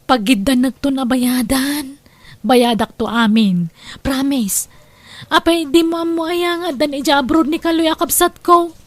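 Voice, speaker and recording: Scheming married woman female actor, radio drama, high voice, insistent wheedling delivery. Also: unhurried, no room sound. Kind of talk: Ilocano radio drama acting.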